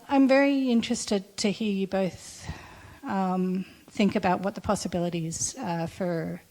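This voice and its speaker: Australian lady in the audience, bit of vocal fry, lots of ums. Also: fairly low pitch, a little mellow, poor audio. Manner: thoughtful, hesitant with many ums. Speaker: audience member asking a question.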